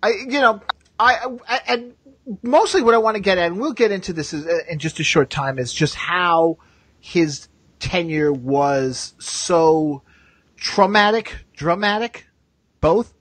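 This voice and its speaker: Husky male podcast host, chatty. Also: sits high, a little slow, a bit bright, dry recording. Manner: chatty, repeats himself. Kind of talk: casual chat, live podcast.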